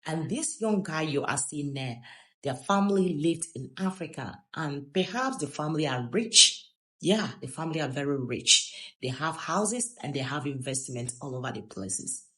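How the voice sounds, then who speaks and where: A woman's voice: low voice, lively intonation, normal speed, fairly bright tone. A woman from Gambia giving casual, preachy commentary on a video clip, with chuckling asides.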